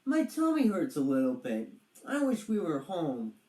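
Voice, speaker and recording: A storybook reader voicing a timid, whiny young boy dragon with a nervous, squeaky manner. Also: wildly swooping pitch, normal speed, warm tone, echoey room. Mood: queasy, whiny.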